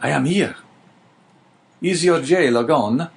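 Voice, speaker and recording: A man voicing a cautious, measured older male prisoner in a storybook reading. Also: fairly high pitch, vocal fry, slight background noise, pitched above the performer's own voice. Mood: guarded.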